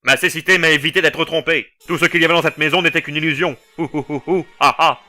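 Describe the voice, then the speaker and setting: A man voicing a blind, calm knight with a dry, matter-of-fact wit in a comedic fan dub. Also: fairly high pitch, fast, soft background music.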